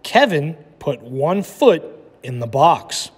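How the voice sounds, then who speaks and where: A man teaches and reads aloud for children. His voice sits a little high and moves with lively swings. It carries a long echo from the room. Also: takes it slowly. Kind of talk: animated read-aloud and lesson for children. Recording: echoey room with long reverb.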